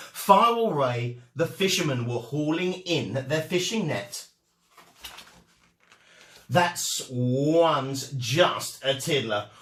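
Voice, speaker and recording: A man narrating a children's storybook in an expressive, deliberate and dramatic way as a storytime reader. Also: on the high side, roomy sound.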